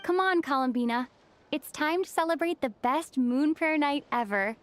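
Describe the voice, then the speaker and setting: A woman playing a devoted, gentle young traveler in an anime game, cheerful and encouraging. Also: sits high, moderate pace, slight background noise, close to an everyday voice. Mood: joyful. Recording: faint music behind the voice.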